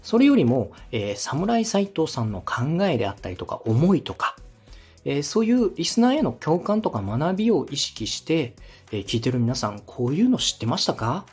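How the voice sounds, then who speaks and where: Husky-voiced man coaching with lively intonation. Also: on the high side, moderate pace, soft background music. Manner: polite, encouraging. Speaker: podcast host, radio director giving advice. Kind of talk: conversational coaching, polite explanation.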